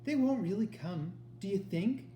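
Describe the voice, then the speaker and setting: A man's voice: sits high, animated, moderate pace, dark tone, echoey room, slight background noise, voice pushed up. A man voicing a nervous, dreamy young girl with a theatrical streak in a storybook reading. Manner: intones grandly when play-acting.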